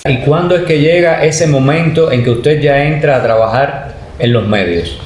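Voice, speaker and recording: A man calmly asking questions as an interviewer. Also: mid-range voice, moderate pace, soft background music. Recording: roomy echo, faint background noise.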